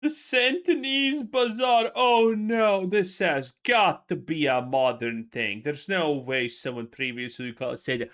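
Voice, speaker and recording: A young co-host in a casual gaming chat, sarcastic and teasing, breaking in with loud exclamations. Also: mid-range voice, unhurried, few pauses. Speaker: podcast co-host.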